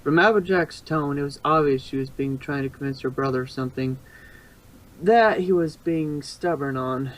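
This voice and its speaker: A man's voice: fairly high pitch, normal speed, rough recording. Man reading a story, stumbling occasionally. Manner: stumbles and re-reads phrases. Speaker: fan fiction narrator. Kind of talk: amateur storybook narration.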